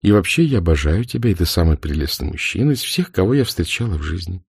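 A man reads the part of a playful young woman, teasing and affectionate in an audiobook. His voice lifts somewhat above its low natural pitch. The recording is dry and close. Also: very animated, fast talker, vocal fry, slightly rough, no room sound, full character voice.

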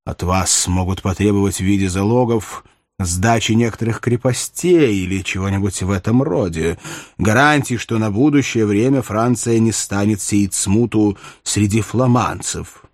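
A man voicing a wise, tactful court counsellor in a historical audiobook, measured, deferential and frank. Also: fairly low pitch, no room sound, clean studio sound. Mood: formal, composed. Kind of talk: dramatized audiobook reading of a historical novel.

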